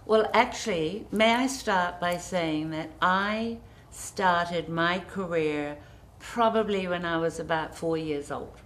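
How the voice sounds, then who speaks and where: A middle-aged woman, a design guest on a TV studio interview, speaking graciously with effusive, drawn-out emphasis. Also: lowish voice, fairly bright tone, poor audio. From New Zealand. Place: TV studio set.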